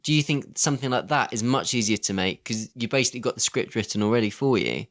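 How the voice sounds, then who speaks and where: A man's voice: mid-range voice, lively intonation, fast talker, fairly bright tone. A man co-hosting a film podcast, leading a casual discussion in a conversational, questioning way. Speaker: podcast co-host.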